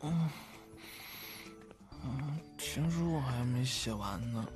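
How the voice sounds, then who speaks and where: A man playing a tipsy, drowsy young man in an audio drama, childlike and clingy, with drawn-out, insistent phrasing. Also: mid-range voice, expressive, music in the background. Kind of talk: Chinese audio drama acting.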